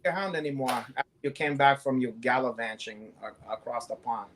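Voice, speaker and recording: A man's voice: mid-range voice, fairly even intonation, a little fast, a bit bright. Brazilian-accented male co-host, brash and profane, comic bravado. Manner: boastful, swearing, joking.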